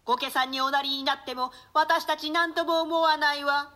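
A woman's voice: sits high, level tone, normal speed, bright, forceful tone, voice pushed up. A woman voicing a little orphaned girl in a classic stage play, plaintive and innocently reproachful. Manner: childlike, plaintive.

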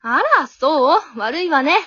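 Woman doing a bossy vain young girl, really high bright voice, swoops around, coy and haughty, radio drama. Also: measured pace, dry recording, dull audio. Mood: sweet, pushy. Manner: haughty, coy, pushy ladylike speech. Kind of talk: amateur radio drama acting.

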